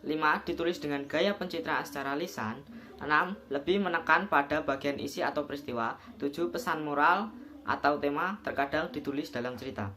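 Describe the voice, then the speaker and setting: A man's voice: a little high, fairly quick, bright, forceful tone, a little hiss or hum. A young man, a student presenter, reading out scripted points as a numbered list. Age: young adult.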